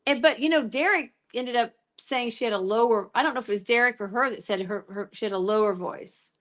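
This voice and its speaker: A woman's voice: on the high side, a bit bright. A woman co-hosting a casual chat, reading from her own notes with frequent stops and restarts. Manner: halting, many restarts while reading notes. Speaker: co-host recapping trial notes.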